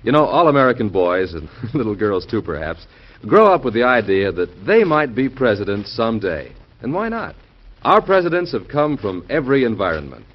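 A man's voice: medium pitch, sing-song, normal speed. A man hosting a radio drama in a folksy, rhetorical 1940s presenting style. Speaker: host and narrator of a radio drama. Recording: muffled vintage radio recording.

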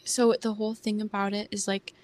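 A young woman with a soft voice, hosting a casual podcast chat in a shy, hesitant way that often trails off. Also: mid-range voice, level tone, brisk. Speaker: podcast host.

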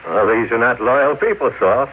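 A man's voice: mid-range voice, normal speed, phone-quality sound, close to an everyday voice. An actor playing a suspicious, obsessive, argumentative businessman in an old-time radio drama. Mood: scheming. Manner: insistent, argumentative. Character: suspicious, obsessive, pushy businessman, elder brother. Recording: thin old radio broadcast, faint noise.

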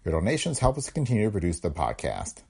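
A man's voice: low-pitched, wildly swooping pitch, fast talker, vocal fry, darkish tone, breathy edge. A man hosting a podcast with scripted narration. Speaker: podcast host.

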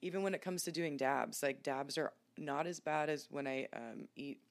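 A woman's voice: low voice, moderate pace, vocal fry. A woman hosting a casual podcast, candid and chatty, laughing easily. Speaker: podcast host.

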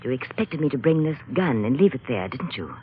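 A cornered, desperate wife in a 1940s radio melodrama. Her breathless voice is husky and swings widely in pitch. The recording is narrow and tinny, like an old broadcast. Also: big pitch swings, a little fast, exaggerated voice. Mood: accusing, bitter. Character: desperate unhappy wife turned killer, cornered and cracking up. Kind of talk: 1940s radio drama acting.

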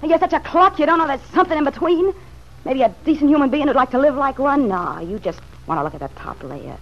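A woman's voice: high voice, expressive, fast talker. An actress in an old radio drama playing a world-weary, slangy, street-wise woman who speaks with raw emotion. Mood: hurt, defiant. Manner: slangy, emotional, hushed then flaring. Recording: dull, noisy vintage radio recording.

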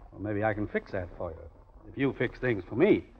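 Casting: a sinister gambler, smooth, commanding, widely inflected; vintage radio thriller, muffled old recording. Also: male voice, on the low side, sing-song, a little fast. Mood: calmly persuasive. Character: cool, calculating male gambler offering a sinister deal. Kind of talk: 1940s radio drama acting.

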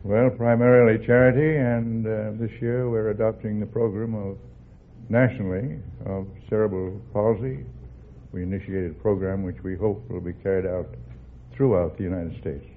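A man's voice: fairly low pitch, steady tone, normal speed. Elderly man on a tinny old broadcast. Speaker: quiz show contestant. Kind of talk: unscripted 1950s radio quiz answers. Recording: tinny old radio recording, hiss.

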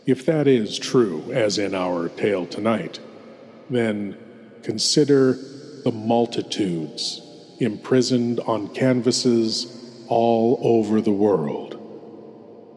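A man's voice: on the low side, a little mellow. A man playing a ghoulish, courtly lord who hosts old-time radio horror in a grandiose, ominous manner. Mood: solemn, grandiose. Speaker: horror anthology host. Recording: long cavernous reverb. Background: music.

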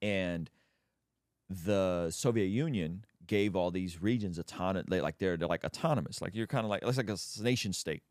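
A man's voice: sits low, moderate pace, no echo, studio quality. A man from Azerbaijan hosting a podcast, telling stories in casual conversation with lots of restarts. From Azerbaijan, Armenian family. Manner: animated storyteller, many restarts. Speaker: podcast host.

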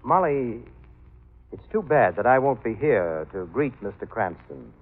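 An actor playing a soft-spoken, calculating killer in a 1940s radio thriller, politely taunting, with menacing gentleness. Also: male voice, medium pitch, very animated, a little slow. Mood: calmly menacing. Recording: muffled vintage radio recording.

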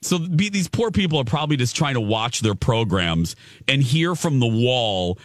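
A man hosting a radio morning show who talks loudly and theatrically, projecting his voice in easy banter. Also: mid-range voice, very animated, normal speed, no room sound, studio quality. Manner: loud, projecting, theatrical talker. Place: studio.

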